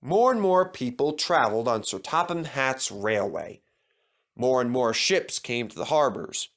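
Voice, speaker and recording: A man's voice: mid-range voice, animated, a little slow, a bit bright. A man reading a children's railway story as scripted narration.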